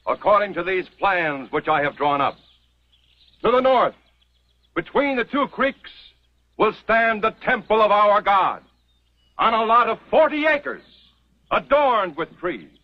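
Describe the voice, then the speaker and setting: A solemn pioneer church leader. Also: male voice, sits high, lively intonation, a little slow, long pauses. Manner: solemn, proclaiming. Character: stern middle-aged male pioneer church leader. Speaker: church leader laying out a settlement. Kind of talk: 1950s TV western acting. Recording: dull old TV soundtrack.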